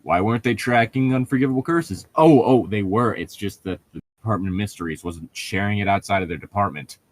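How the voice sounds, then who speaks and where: Exasperated, low-voiced man on mic. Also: brisk. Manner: exasperated, sweary, mocking. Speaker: co-host reading fan fiction aloud. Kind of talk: sarcastic read-aloud with ranting commentary.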